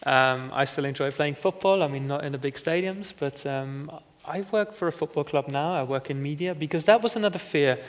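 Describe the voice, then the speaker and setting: A man's voice: on the high side, a little fast, hardly any pauses. Earnest man reflecting at a panel. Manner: reflective, earnest, frequent "you know". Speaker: panel guest, former footballer. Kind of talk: conversational panel talk. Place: conference stage.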